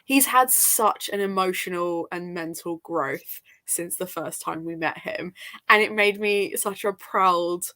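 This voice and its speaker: A woman chatting casually and emphatically about books in an informal, animated way, often checking in with the listener. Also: lowish voice, a little slow. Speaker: book readalong panellist.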